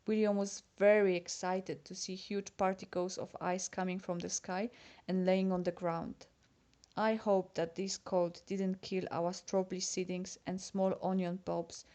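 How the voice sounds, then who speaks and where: A woman's voice: medium pitch, dark tone. A Polish-born woman whose first language is Polish, reading her own personal letter aloud in English with a Polish accent, chatty and confiding as if talking to a friend. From Poland. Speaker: letter writer.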